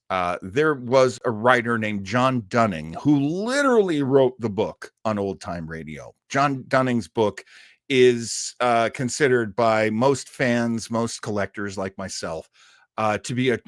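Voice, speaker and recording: A man's voice: medium pitch, no echo, studio quality. A middle-aged man hosting an old-time radio livestream in a casual, chatty, rambling way, effusive and self-deprecating.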